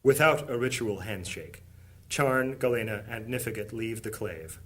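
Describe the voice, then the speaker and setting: A man's voice: fairly low pitch, normal speed. A man reading scripted narration for a fantasy audio drama. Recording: music under the voice. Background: music.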